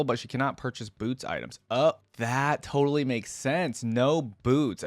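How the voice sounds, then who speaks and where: A man's voice: mid-range voice, no echo. A man hosting a reaction video, commenting casually and reading aloud as he goes.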